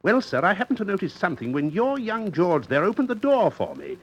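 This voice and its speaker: A man playing a cool, sardonic captain in a radio mystery play, speaking in a dry, needling, deliberate way. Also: high-pitched, huge pitch swings, dull audio, heavily acted. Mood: coolly sardonic. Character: cool, sardonic sea captain turning tables on accusers.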